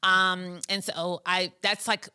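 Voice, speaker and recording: Bright-voiced New woman. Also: fairly low pitch, flat delivery, slow, clear, resonant tone. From New York. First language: Russian. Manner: chatty, frank, many "like" fillers. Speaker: guest, bookstore founder. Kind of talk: casual podcast conversation.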